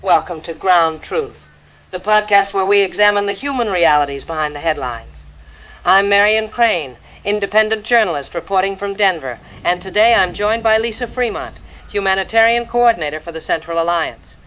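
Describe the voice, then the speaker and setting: A woman's voice: medium pitch, normal speed, fairly bright tone, close to an everyday voice. A woman playing a serious, formal war journalist who hosts a podcast and asks probing questions in an audio drama. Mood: serious, inquiring. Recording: dull lo-fi audio with clear background noise.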